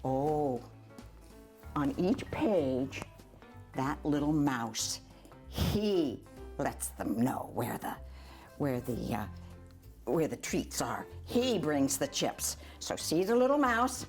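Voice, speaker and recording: Older lady doing storytime for kids, really slow pace, chatty asides, bit of quiet music. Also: low-pitched. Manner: animated, chatty, pointing things out to young listeners. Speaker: volunteer storyteller. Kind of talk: children's storybook read-aloud with asides.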